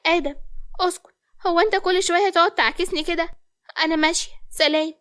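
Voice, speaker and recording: A woman's voice: very high voice, fairly even intonation, quick pace, a bit bright. A woman playing young women in love in an Egyptian Arabic romance audio story, by turns bashful, sassy and easily offended. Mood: bashful, reproachful.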